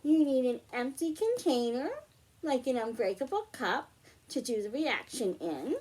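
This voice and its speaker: Woman, higher-pitched voice, children's storytime host giving simple, patient hands-on instructions. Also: expressive, unhurried, darkish tone. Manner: instructional, patient step-by-step, simple repeated phrasing. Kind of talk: simple hands-on science demonstration for young children.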